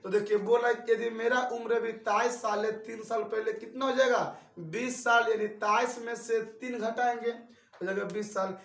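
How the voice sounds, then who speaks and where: A man's voice: extremely high pitch, fairly even intonation, moderate pace, fairly bright tone, echoey room, distant mic. A man in his twenties teaching math, who repeats each step and asks his students questions. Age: 20s. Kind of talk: classroom-style lesson.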